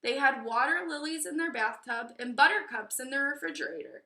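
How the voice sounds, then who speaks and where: A woman reading a children's storybook aloud in an expressive, teacherly way, with explanatory asides. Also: high voice, a little fast. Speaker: storybook reader. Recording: echoey room.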